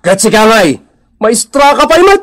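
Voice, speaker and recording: A man's voice: sits high, sing-song, moderate pace, a bit bright. A man with a northern Philippine accent playing a quarrelsome, jealous husband, scolding and scornful in a comedy radio drama. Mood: accusing.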